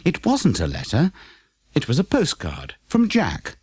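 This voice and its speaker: Animated British man narrating a children's story. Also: on the high side, unhurried, breathy edge, no echo, clean studio sound. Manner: expressive storytelling. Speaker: audiobook narrator.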